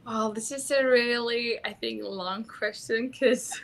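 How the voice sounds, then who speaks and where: A woman's voice: on the high side, slow pace, creaky, a little hiss or hum. A young woman from Mexico with a Mexican Spanish accent, a native Spanish speaker, talking earnestly about intimacy as a guest, often restarting her phrases. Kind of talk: casual conversational interview.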